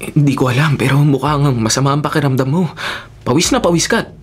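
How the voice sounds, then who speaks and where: A man's voice: a little high, fairly quick, breathy edge. Campy, sassy young Filipino man. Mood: concerned. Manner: campy, playful, expressive. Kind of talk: Filipino radio drama acting.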